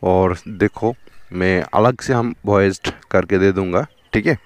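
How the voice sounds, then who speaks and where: A man's voice: low voice, slow pace. Bengali-accented young man vlogging from a motorbike. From West Bengal, India. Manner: chatty, repeats "theek hai" and "dekho". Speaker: motovlogger. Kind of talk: casual vlog narration while riding. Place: outdoors on a riverbank, on a motorbike. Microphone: helmet or chest action camera.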